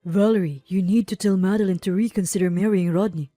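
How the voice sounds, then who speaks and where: A woman's voice: mid-range voice, steady tone, quick pace, dark tone, dry recording, faint music behind, natural voice. A woman voicing a serious, concerned male confidant in earnest, emphatic story dialogue. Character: serious, concerned confidant named Conrad, a man.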